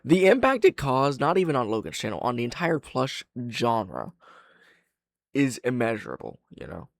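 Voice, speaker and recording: A man's voice: mid-range voice, wildly swooping pitch, moderate pace, vocal fry, dry recording. Young adult male reactor, frank and opinionated, sweary casual commentary, close dry recording. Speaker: reaction video host and reviewer.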